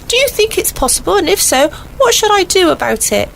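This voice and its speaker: A woman's voice: extremely high pitch, sing-song, fairly quick, creaky, slight background noise, heavily acted, rough recording. An actress playing an earnest, prim British village woman reading out her letter in a 1940s radio comedy. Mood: earnest, concerned. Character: earnest, gossipy woman villager writing to agony aunt.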